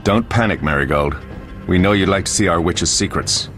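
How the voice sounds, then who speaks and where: A man's voice: deep voice, moderate pace, a bit bright, soft background music. A male actor playing a dismissive monster hunter with a taunting manner in a fantasy game. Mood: snide.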